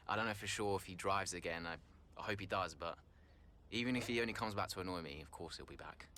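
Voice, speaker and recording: A man's voice: deep voice, fairly quick, sharp tone. An actor playing a guarded, dryly wry male racing driver who gives hesitant, diplomatic interview answers in a game. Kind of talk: post-session interview answers in a game cutscene.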